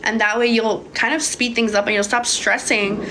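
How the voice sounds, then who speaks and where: Young woman from hungary, slightly high voice, casual livestream coach, swears, calls people babe, phone mic, faint noise. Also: fairly even intonation, moderate pace, pushed and bright, rough recording. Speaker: manifestation coach. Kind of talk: casual livestream Q&A, reading viewer comments.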